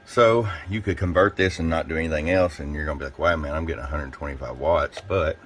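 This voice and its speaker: A man giving a casual, step-by-step hands-on product review in a plain-spoken, folksy way, pausing between short phrases. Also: rumbling low, a bit bright, a little hiss or hum. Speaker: radio seller demonstrating a product.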